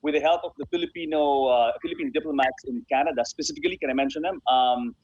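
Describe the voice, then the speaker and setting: A man's voice: medium pitch, fast talker, darkish tone, husky, no echo, dull audio. A man speaking as a guest in a conversational radio interview, emphatic and repeating phrases to stress them. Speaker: interview guest, sports association founder and honorary consul.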